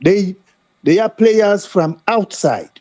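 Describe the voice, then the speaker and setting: A man from DR Congo with a Congolese accent, talking football as a guest caller in halting, repetitive, emphatic English that is not his first language. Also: sits high, lively intonation, darkish tone, no room sound. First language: French. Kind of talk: animated football talk.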